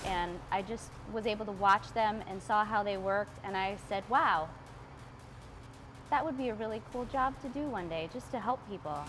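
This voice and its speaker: A woman firefighter telling how she came to the job, heartfelt and quick. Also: mid-range voice. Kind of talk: interview testimonial. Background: music.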